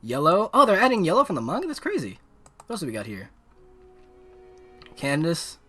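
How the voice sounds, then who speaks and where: A man live-streaming a game, giving excitable, casual, slangy commentary and reading on-screen text aloud. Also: fairly high pitch, fairly quick, husky, soft background music.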